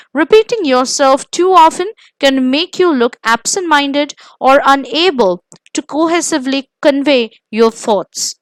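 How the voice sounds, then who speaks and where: Indian-accented woman, high pitch, scripted lesson narration, measured emphasis, dry studio-like sound. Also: fairly bright tone, no room sound. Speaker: presenter of interview coaching lesson.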